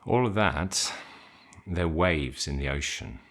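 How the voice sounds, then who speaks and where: A man with an extremely low voice guides a meditation. He speaks slowly, with plenty of space in his delivery. His phrasing is informal and a little hesitant, as he offers simple, gentle instructions. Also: expressive.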